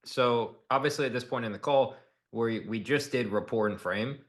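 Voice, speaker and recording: A man's voice: mid-range voice, moderate pace. A man giving a direct, casual coaching breakdown of a sales call, instructing and demonstrating as he goes. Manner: direct, instructive, casual, acts out example lines.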